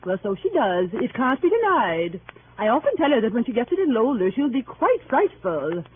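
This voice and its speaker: An actress in 1930s radio style playing a simpering, flirtatious and catty spinster aunt with an affected, gushing manner. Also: female voice, fairly high pitch, big pitch swings, brisk, narrow, tinny audio. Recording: thin, noisy 1938 radio broadcast.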